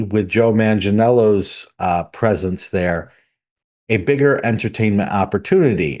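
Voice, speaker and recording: A man with a lowish voice reads the news segment on a gaming podcast. He talks in a steady, measured way and quotes announcements word for word. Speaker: podcast co-host.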